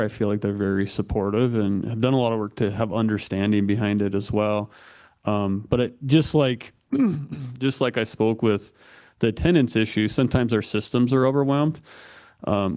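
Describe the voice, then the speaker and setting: A man's voice: on the low side, a little mellow, smooth. A man, a school superintendent, earnestly explaining his district's work at a legislative committee hearing. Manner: earnest, explanatory, many fillers.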